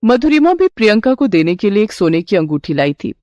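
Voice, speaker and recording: Casting: female storyteller for moral bedtime tales, very lively intonation, mid pitch, close dry recording. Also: brisk, no room sound, lo-fi sound. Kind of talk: scripted narration of a Hindi moral bedtime story.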